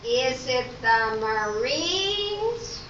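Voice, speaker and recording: Woman acting as naive excitable contestant, very slow drawl, high voice, amateur radio play, distant muffled recording. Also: echoey room, over loud music, exaggerated voice. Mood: excited. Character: ditzy, excitable small-town woman game show contestant.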